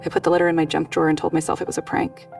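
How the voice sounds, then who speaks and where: An anxious young person as a narrator puts them on recounts bad luck in a horror tale. The delivery is rushed and insistent, with a gravelly creak and very flat pitch, set back from the mic over music. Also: recorded from a distance, close to an everyday voice. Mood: uneasy, defensive. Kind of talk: dramatized first-person quote in horror narration.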